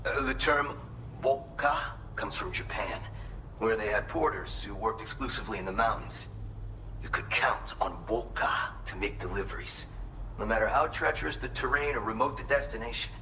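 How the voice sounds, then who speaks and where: A man's voice: on the low side, animated, normal speed, pushed and bright, a little hiss or hum, low-quality recording. A man acting a folksy, earnest mountain porter in a video game, talking conversationally and with pride.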